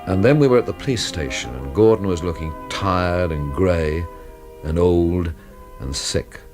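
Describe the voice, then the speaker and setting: Radio mystery lead: weary, wry man, deep voice, brooding and literary, faint music. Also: lively intonation, unhurried. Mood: brooding, bleak. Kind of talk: radio drama acting and first-person narration.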